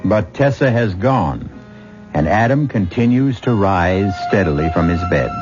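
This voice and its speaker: A man hosting and narrating a 1970s radio mystery series in a measured, wry, dramatic scripted style. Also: low-pitched, sing-song, loud music behind the voice. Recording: muffled 1970s radio recording.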